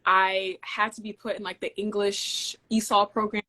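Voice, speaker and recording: A young woman from Denmark, a guest in a relaxed interview, talks at an even middle pitch. Her delivery is measured and friendly as she walks through technical ideas step by step. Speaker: guest, engineer. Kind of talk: casual interview conversation.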